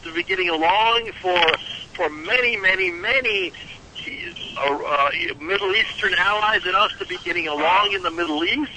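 Over noticeably noisy radio audio, a high-voiced man debates politics as a panel guest. He sounds defensive and argumentative, often repeating his points. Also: moderate pace, bright, forceful tone.

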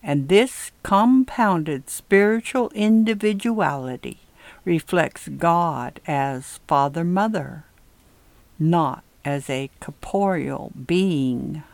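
Female reader for religious essays, very unhurried and measured, dry studio-like sound. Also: lowish voice, creaky, darkish tone, no echo. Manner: measured, deliberate phrasing. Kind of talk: scripted read-aloud of a religious essay.

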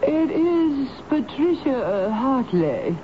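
An actress playing a grand, dreamy, tipsy former stage star, dramatic and hesitant, in a 1970s radio drama. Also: female voice, high voice, very animated, takes it slowly, exaggerated voice. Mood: imperious. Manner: dramatic, hesitant with many ums. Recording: muffled, noisy old radio broadcast.

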